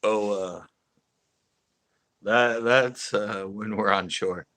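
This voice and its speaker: Deep-voiced rambling man on a live stream. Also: fairly even intonation, very slow, sharp tone, no echo. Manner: rambling, laughs often, many fillers and restarts. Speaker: fishing channel host. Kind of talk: casual live-stream chat.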